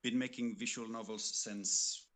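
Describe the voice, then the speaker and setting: Low-voiced man, halting casual chat. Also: fairly even intonation, a bit bright. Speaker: game developer guest on a livestream. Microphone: computer microphone on an online call with noise suppression.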